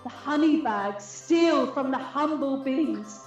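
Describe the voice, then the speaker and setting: A high-voiced, regal fairy queen in love, played by a woman, declaims Shakespearean verse in a radio drama. She is stately and unhurried, sensual yet commanding. The sound is very echoey, with music behind. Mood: doting, regal. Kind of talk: Shakespearean radio play acting. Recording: echoey, slightly dull audio, music behind.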